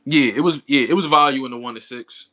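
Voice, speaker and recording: A man's voice: medium pitch, quick pace, sharp tone. A man in his thirties chatting casually with livestream viewers, talking in a laid-back, slangy way and laughing often. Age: 30s. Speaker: livestream boxing commentator. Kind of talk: casual chat with live chat viewers.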